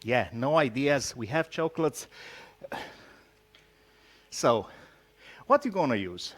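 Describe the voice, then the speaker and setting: A man from Romania with a Romanian accent gives an informal tech talk, speaking haltingly and teasing his audience with jokes. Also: mid-range voice, sing-song. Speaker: conference speaker, solution architect.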